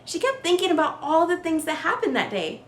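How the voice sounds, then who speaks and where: A woman reading a children's storybook aloud in an animated, carefully enunciated way, as a storyteller for kids. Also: very high-pitched, brisk. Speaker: children's read-aloud storyteller, show host. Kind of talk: scripted storybook narration for kids. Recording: roomy echo with faint background noise.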